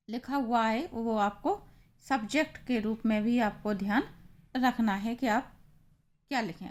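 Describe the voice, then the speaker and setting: A woman with an Indian accent teaching in a deliberate, explanatory way, as in an instructional lesson. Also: medium pitch, a little hiss or hum. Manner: deliberate, explanatory, reading phrases out then translating them.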